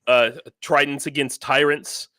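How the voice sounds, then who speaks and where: A man's voice: medium pitch, flat delivery, unhurried, sharp tone, no echo. A man hosting a casual livestream interview, rambling a little and often repeating himself as he feels for his words. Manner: hesitant, rambling, repeats phrases.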